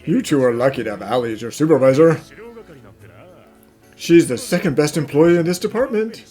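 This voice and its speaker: A man voicing a smug, self-assured office colleague in an animated fan dub, with a suave, insinuating way of talking. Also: a little high, fairly quick, soft background music, lightly acted.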